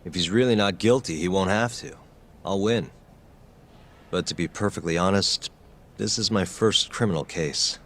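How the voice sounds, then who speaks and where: An actor playing a calm, stubborn young defense lawyer in a video game drama, earnest, measured and understated. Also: male voice, deep voice, reverberant, long reverb tail. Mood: calm, candid.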